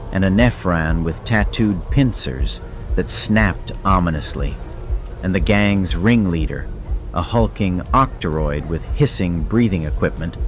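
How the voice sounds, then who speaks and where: A man's voice: deep voice, highs cut off. A man giving dramatic scripted narration of a fiction audiobook. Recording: studio narration over cinematic music.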